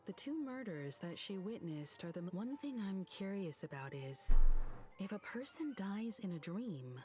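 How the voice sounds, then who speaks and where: A woman's voice: mid-range voice, very animated, normal speed, warm tone, close to an everyday voice. An actress playing a poised, analytical woman in a video game, thoughtful and articulate in her delivery. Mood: curious. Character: poised, analytical grown woman weighing clues. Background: game music.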